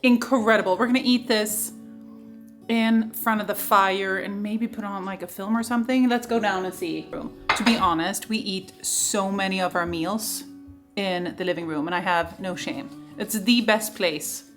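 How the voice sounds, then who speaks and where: A woman's voice: mid-range voice, normal speed. A woman, chatting casually and playfully at home while filming a vlog. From Sweden. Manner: chatty, playful, laughs easily. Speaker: vlogger. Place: home kitchen and living room. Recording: echoey room with soft guitar music.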